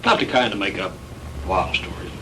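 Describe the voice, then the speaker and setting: An actor playing a matter-of-fact investigator giving a report in a 1960s B-movie. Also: male voice, deep voice, expressive, normal speed, pushed and bright, full character voice. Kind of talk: 1960s B-movie dialogue acting. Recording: distant, noisy 1960s film soundtrack.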